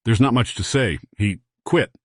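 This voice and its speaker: A woman voicing a weary, heavy-set construction boss in his fifties with a low, raspy voice, in an audio story. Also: moderate pace, no echo, clean studio sound. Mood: weary, evasive.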